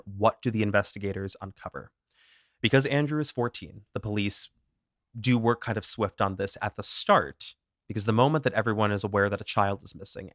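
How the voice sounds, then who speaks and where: Male podcast co-host, low register, casual true-crime storyteller, dry booth sound. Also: no echo. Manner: animated, self-deprecating, laughs at own pronunciation.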